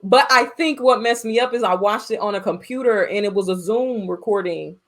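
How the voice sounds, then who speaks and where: An American woman with a middle-pitched voice talks casually as a podcast co-host. She tells stories in an animated, unfiltered manner and swears freely. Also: a little fast. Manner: animated storytelling with frequent profanity. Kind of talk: casual movie-podcast chat.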